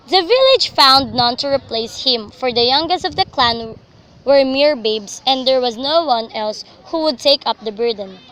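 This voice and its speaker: High, bright woman narrating a radio drama. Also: low-quality recording.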